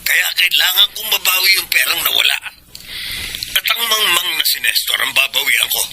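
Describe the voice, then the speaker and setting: An actor playing an abusive, scheming construction boss in a Filipino radio drama, menacing and theatrical. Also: male voice, sits high, animated, moderate pace. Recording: noisy radio drama recording.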